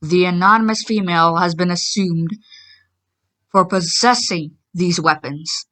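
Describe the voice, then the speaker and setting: A woman delivering a scripted emergency warning broadcast in a formal, impersonal, measured manner. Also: on the low side, bright, forceful tone. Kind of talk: scripted emergency alert announcement.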